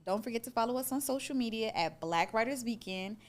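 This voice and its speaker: A woman hosting a conversational interview, talking in an animated, appreciative way. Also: on the high side, brisk. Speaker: interviewer and host.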